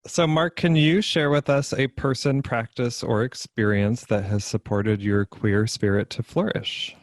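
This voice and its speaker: A man's voice: mid-range voice, fairly even intonation, a little slow, dry recording, studio quality. A middle-aged man hosting a podcast, chatting casually in an interview. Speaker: podcast host.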